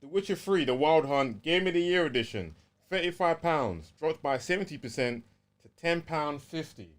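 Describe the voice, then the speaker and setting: A man's voice: fairly high pitch, expressive, moderate pace. A man with a London accent chatting casually and easily as a gaming podcast co-host, using plenty of slang.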